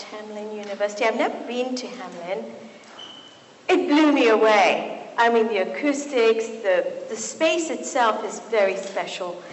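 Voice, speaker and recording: A middle-aged woman from Kenya, a physician giving a lecture to an audience in a university hall, telling stories with lively asides. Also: a little high. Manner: warm and storytelling, with lively asides. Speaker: physician and speaker. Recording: echoey hall, faint noise.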